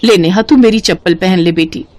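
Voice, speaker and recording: A woman's voice: lowish voice, fairly quick, darkish tone, lightly acted. A woman voicing a humble, poor working mother and maid in a moral story, deferential and caring.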